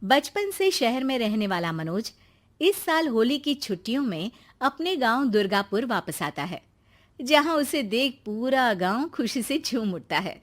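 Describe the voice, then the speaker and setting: A woman's voice: on the high side, sing-song, unhurried. A woman reading scripted narration for a children's story.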